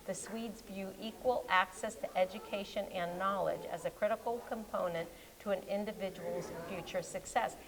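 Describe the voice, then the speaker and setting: A woman's voice: mid-range voice, fairly even intonation, moderate pace, hardly any pauses, crowd noise, low-quality recording. A woman co-hosting a local library show, chatting casually about books and trailing off mid-word, with an American accent. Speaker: co-host, children's librarian. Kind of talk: casual chat. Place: public library.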